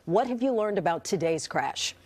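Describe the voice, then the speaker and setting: A woman's voice: lowish voice, lively intonation, moderate pace, darkish tone. A woman anchoring live television news, talking with reporters.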